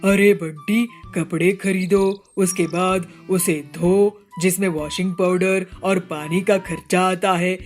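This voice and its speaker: A woman's voice: lowish voice, steady tone, slow, few pauses, faint music behind. A woman voicing a stingy, scheming male cartoon bird who talks in a bossy, self-satisfied, wheedling way. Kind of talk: cartoon voice acting.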